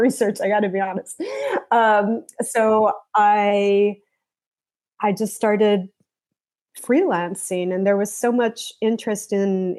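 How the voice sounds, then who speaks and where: A woman's voice: mid-range voice, darkish tone. Reflective American woman telling her story. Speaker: guest, journalist. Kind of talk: casual interview conversation.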